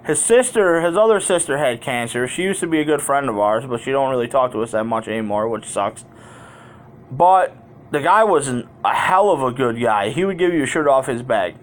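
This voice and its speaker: A man with a mid-pitched voice talks casually to his viewers as a vlogger. He tells personal stories in a candid, unpolished way. His wording is colloquial and he tends to ramble from one thought to the next. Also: animated, brisk. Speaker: YouTube vlogger.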